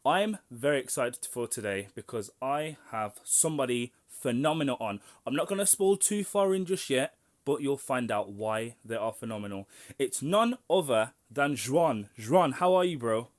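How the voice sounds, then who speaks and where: A man's voice: medium pitch, expressive, normal speed. A man hosting a casual interview, speaking enthusiastically with friendly slang. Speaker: interview host.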